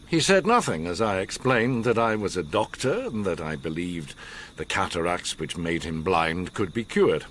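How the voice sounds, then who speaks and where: Older learned gentleman, radio drama. Low, animated delivery, measured pauses, grave intensity, slight background noise. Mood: grave, dramatic.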